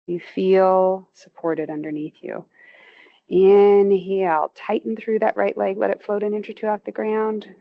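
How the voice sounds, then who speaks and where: A woman guiding a relaxation practice, giving slow, soothing cues to tense and release muscles, her voice climbing with emphasis on each tensing cue. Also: medium pitch, flat delivery, vocal fry, narrow, tinny audio. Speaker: movement instructor. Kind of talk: guided progressive muscle relaxation.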